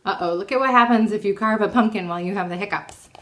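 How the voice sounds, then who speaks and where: A woman reading a children's storybook aloud at storytime, expressive and often putting questions to her young listeners. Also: medium pitch, quick pace.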